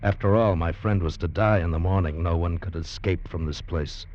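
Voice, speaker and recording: An actor playing a loyal, earnest convict who narrates his friend's doom in deliberate 1940s-50s radio drama style. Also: low-pitched, a little mellow, natural voice. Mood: somber, concerned. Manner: grave, deliberate dramatic storytelling. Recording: dull vintage radio recording with music.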